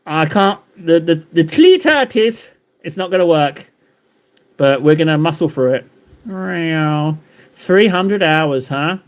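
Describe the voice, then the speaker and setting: A man's voice: a little high, expressive, takes it slowly. A man live-streaming while he paints, rambling and joking as he banters with his chat.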